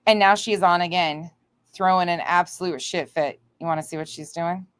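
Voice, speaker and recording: A woman's voice: lowish voice, fairly even intonation, moderate pace, a bit bright, clean tone, no echo. A woman hosting a livestream, reacting and chatting to viewers in a blunt, indignant, sweary way. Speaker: livestream host.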